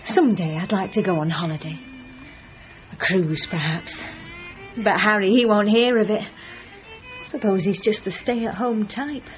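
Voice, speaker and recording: An actress playing a polite, chatty, devoted wife in a radio drama. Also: female voice, mid-range voice, measured pace, close to an everyday voice. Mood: polite, wistful. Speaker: actor. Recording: dull sound with faint music behind.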